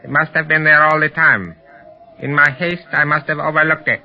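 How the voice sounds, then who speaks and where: A man's voice: a little high, fairly even intonation, normal speed, natural voice. An actor playing a whining, servile henchman of a villain in a 1930s radio serial. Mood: pleading, servile. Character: cringing, fearful henchman servant of a villain. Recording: echoey, distant, dull 1930s radio recording.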